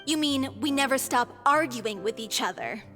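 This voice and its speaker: High-voiced weary, ailing young performer. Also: female voice, lively intonation, moderate pace, sharp tone, in a large hall, faint music behind. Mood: weary, wry. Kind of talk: video game voice acting.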